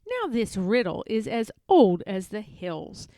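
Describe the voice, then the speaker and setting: A woman narrating a children's storybook aloud in an expressive, measured read-aloud manner. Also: medium pitch, no room sound, studio quality. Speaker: storybook reader.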